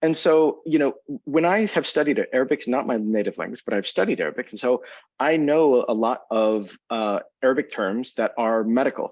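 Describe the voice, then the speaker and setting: A man's voice: medium pitch, no echo, dull audio. A man, a physician on a panel, speaking thoughtfully and searching for words, often restarting his sentences over a video call. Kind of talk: panel discussion.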